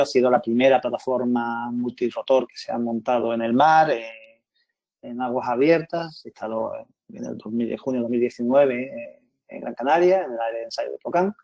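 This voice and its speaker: A man from Spain of middle pitch gives a businesslike online presentation in a dry, close sound. He speaks fluently and restarts a phrase now and then. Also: a little mellow, dry recording, poor audio. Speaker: company representative on a webinar panel.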